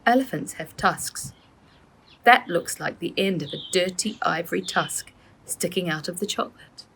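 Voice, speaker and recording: A woman's voice: on the low side, normal speed, gravelly, a bit bright, a little hiss or hum. A woman voicing a serious, worried father in a children's storybook, earnest and proper in manner. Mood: shocked.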